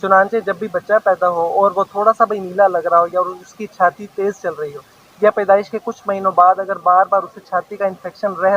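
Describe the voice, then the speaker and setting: A man's voice: sits high, fairly bright tone, slight background noise. A man, a children's heart specialist, earnestly gives a public health message outdoors. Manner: earnest, long explanatory sentences. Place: outdoor awareness walk. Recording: dull lo-fi news field audio.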